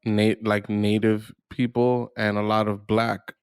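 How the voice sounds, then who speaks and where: A man with a fairly low voice and some fry talks casually as a podcast host. He feels his way through thoughts haltingly, and the sound is close and dry. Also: level tone, no echo. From United States.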